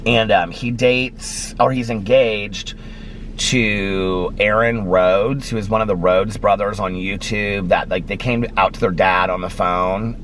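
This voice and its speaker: A fairly low-voiced man rambles through a casual vlog from the driver's seat, stringing thoughts together with plenty of fillers. The steady hum of the car and the road sits clearly under his voice. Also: a little slow. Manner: rambling and chatty, lots of fillers. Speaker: vlogger. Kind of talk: casual chat. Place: inside a car on snowy streets. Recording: clear car noise behind. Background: car and road noise.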